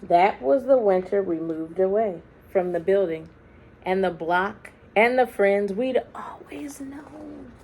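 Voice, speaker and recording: A woman reads a picture book aloud to children at a slow, unhurried pace. Her voice has a gravelly fry, and she phrases dramatically, letting some words drop to a hush. There is faint noise behind her. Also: medium pitch, lively intonation, rough recording. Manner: deliberate dramatic phrasing with hushed, drawn-out words. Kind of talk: expressive read-aloud storytelling for children.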